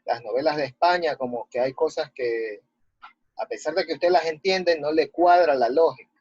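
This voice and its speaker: A man with a Latin American Spanish accent and a husky voice, giving a didactic literature lesson as a teacher and reading texts aloud. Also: fairly high pitch, moderate pace, darkish tone, dry recording. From Ecuador. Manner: didactic, checking with rhetorical questions. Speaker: high school language and literature teacher. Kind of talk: online lesson, explaining and reading a text aloud. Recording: muffled lo-fi audio, very dry.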